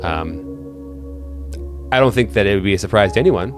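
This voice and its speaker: A man working as game master, improvising horror narration in a halting way, often repeating words as he thinks aloud. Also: on the low side, lively intonation, music in the background.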